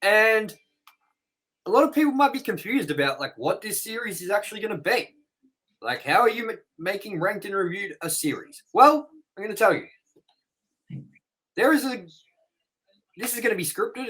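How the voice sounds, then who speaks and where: A man hosting a review show, rambling and excitable as he wraps up the episode with plugs. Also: high-pitched, poor audio. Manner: rambling and excitable, with sound-effect noises. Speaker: host. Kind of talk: casual episode wrap-up with plugs.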